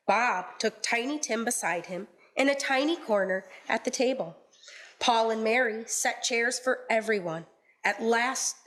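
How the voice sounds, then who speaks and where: A woman's voice: on the high side, fairly bright tone, poor audio. A woman giving scripted storytelling narration as the narrator of a radio play.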